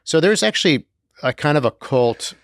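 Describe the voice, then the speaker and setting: A man's voice: a little high, expressive, moderate pace, no echo, studio quality. A middle-aged man co-hosting a podcast in casual, jokey conversation. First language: Turkish. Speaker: podcast co-host. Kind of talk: casual chat.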